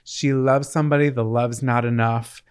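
A man's voice: mid-range voice, steady tone, moderate pace, clean tone. A man in his thirties co-hosting a podcast in a small home studio, talking playfully and cracking cheeky jokes into a handheld mic. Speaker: podcast co-host. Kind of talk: casual chat. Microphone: handheld microphone.

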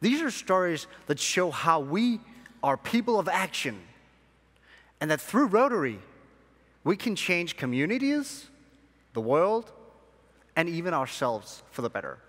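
A young man, born in Sri Lanka and raised in Denmark, giving a keynote speech to a convention audience from a large hall stage with measured, emphatic phrasing. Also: fairly high pitch, very animated, fairly bright tone. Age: young adult. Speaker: conference speaker. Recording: long hall reverb.

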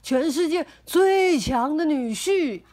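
A woman's voice: high voice, animated, takes it slowly. A woman doing a stammering old mouse chief. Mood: anxious. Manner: stammering, loud exclamations. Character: fretful old male mouse village chief. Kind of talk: storybook read-aloud.

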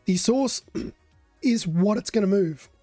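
Hoarse older man with a mellow voice. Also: high-pitched, takes it slowly, no echo, faint music behind. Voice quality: hoarse, coughing. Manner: relaxed, explanatory. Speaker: modding stream host. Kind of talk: live coding tutorial.

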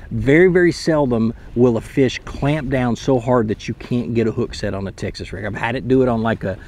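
A man's voice: medium pitch, a little mellow, slight background noise. A man in his thirties explaining a fishing technique to camera from a kayak on a lake, in a chatty, explanatory way with frequent restarts. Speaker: fishing channel host. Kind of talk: casual outdoor tutorial to camera. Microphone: camera mounted close in front.

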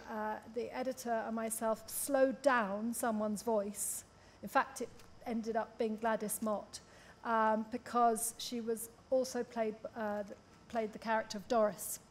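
A woman speaking informally and chattily as a panellist, telling anecdotes. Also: fairly high pitch. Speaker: panellist, radio drama director. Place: theatre stage, seated panel. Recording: live stage, clear background noise.

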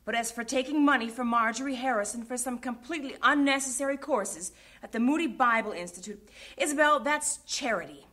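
A woman's voice: fairly high pitch, fairly quick, a bit bright. A stern scolding mother. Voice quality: scolding, cutting. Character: middle-aged mother, stern and reproachful. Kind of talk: radio drama acting.

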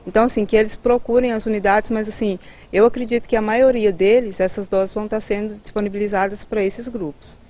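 A woman in her thirties with a Brazilian Portuguese accent explains things as a health official in an interview. Her voice is dark and soft-edged, at a middling pitch. She speaks haltingly, dropping in tag words, into a handheld mic outdoors. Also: fairly even intonation. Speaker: public health immunization director. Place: outdoors in a building's garden. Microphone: handheld reporter microphone held toward her.

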